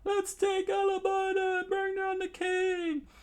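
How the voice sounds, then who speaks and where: A man doing a riled-up, high-voiced townsman. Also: measured pace. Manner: high, shouted and excited. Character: angry rebel townsman.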